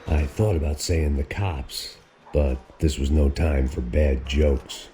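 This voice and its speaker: An actor playing a world-weary, middle-aged ex-cop in a noir game, sardonic and deadpan. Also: male voice, very deep voice, slow pace, background noise. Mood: weary, reflective. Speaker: narrator and lead character. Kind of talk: noir game narration and cutscene dialogue. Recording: loud music bed under the voice.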